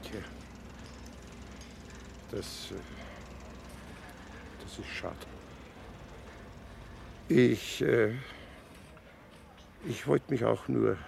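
A man playing a humble, hesitant construction foreman, halting and deferential, in a naturalistic drama. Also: mid-range voice, creaky, a little hiss or hum, exaggerated voice. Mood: dejected. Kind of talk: naturalistic film drama dialogue. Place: outdoor building site.

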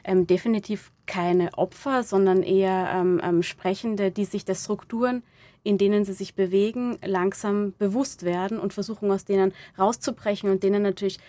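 A woman answering interview questions reflectively, haltingly searching for words and correcting herself as she goes. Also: mid-range voice, fairly even intonation, dark tone, no echo. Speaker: interviewed playwright discussing own audio drama.

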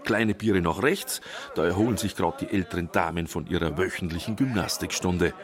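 A man narrates a documentary with a deep, low voice. His script is told in a measured, gently wry way. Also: crowd noise.

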